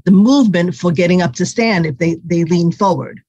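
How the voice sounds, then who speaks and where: A woman physical therapist answering interview questions in a halting way, with many fillers and restarts, whose first language is Cantonese. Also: fairly low pitch, a little mellow, breathy edge, no echo, lo-fi sound.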